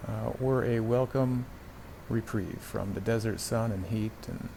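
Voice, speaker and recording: A man's voice: on the low side, a little slow, vocal fry, noisy background. A middle-aged man from the United States narrating a travel vlog about a road trip in an easygoing, lightly wry way.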